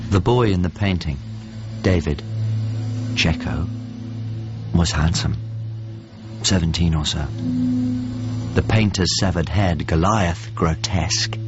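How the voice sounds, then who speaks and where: An actor playing a brooding man in a reflective radio drama monologue, grave and hushed, in deliberate, halting phrases. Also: sits low, creaky, exaggerated voice. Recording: muffled radio drama under loud music.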